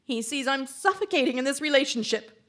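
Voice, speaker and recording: A woman's voice: high voice, animated, fairly quick, a bit bright. A woman acting in a live radio drama as a domineering, self-absorbed wife and writer, haughty and melodramatic. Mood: scolding, haughty.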